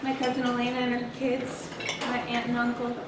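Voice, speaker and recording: A woman's voice: fairly high pitch, completely flat delivery, fairly quick. Earnest woman appealing in an echoey hall. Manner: earnest, heartfelt. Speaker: club member. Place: banquet room with dishes clinking. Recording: echoey room from far off, loud noise.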